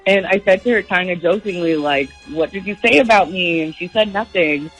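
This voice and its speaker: A woman's voice: lowish voice, brisk, fairly bright tone, husky, soft background music, poor audio. Chatty young woman calling into radio. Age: young adult. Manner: chatty, uses "like" often. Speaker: radio show caller. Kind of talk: casual storytelling.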